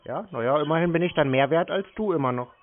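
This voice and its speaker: A man's voice: on the high side, quick pace, over a noisy crowd. A man in a casual phone conversation, answering in a dry, unruffled, needling way. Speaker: scam-baiting call recipient. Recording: narrow, tinny phone-like sound.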